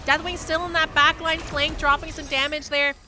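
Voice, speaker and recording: A woman esports caster giving live play-by-play game commentary with an excitable, urgent delivery. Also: sits high, normal speed, slight background noise.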